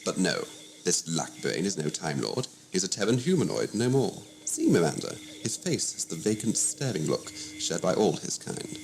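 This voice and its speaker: A man's voice: low-pitched, very animated, normal speed, creaky, noisy background, heavily acted. A man playing a sardonic, superior alien renegade scientist in an audio drama, pompous, mocking and theatrical in manner.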